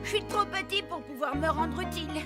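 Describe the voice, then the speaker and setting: A woman's voice: sits high, fairly quick, over loud music, close to an everyday voice. A woman voicing a small, insecure, childlike male vehicle in a children's cartoon, with a plaintive manner. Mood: downhearted. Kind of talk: French children's cartoon dub.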